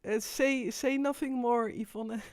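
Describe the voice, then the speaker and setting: A Dutch woman hosting a live stream, talking in a rambling, halting way with frequent restarts. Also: on the high side, steady tone, dry recording, clean studio sound. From Netherlands. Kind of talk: casual live stream monologue.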